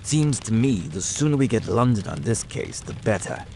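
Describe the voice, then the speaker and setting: A man's voice: lowish voice, lively intonation, normal speed, creaky, dark tone, a little hiss or hum. A man voicing a plain-spoken, puzzled country police sergeant in an audiobook drama, blunt and folksy. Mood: resigned.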